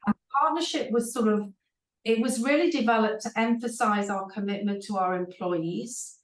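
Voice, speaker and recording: A woman's voice: mid-range voice, moderate pace, slight background noise. A woman executive speaking as a panel guest, explaining her company's approach fluently with the odd "um". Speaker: chief people officer, panel guest. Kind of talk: conversational panel talk. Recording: echoey room, distant mic.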